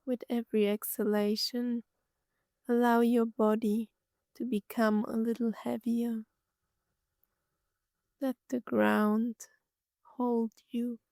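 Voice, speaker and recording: A woman narrating a scripted guided meditation, gently soothing, speaking in short phrases with long pauses. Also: a little high, little pitch movement, creaky, dry recording, clean studio sound.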